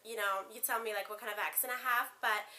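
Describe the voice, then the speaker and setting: A young woman with a Ukrainian accent talks casually to her viewers in a bright, clear voice pitched a little high. She rambles, filling gaps with "like" and "um", her first language being Spanish. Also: steady tone, fast talker. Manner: chatty, rambling, lots of "like" and "um". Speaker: beauty vlogger. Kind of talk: casual chat to camera.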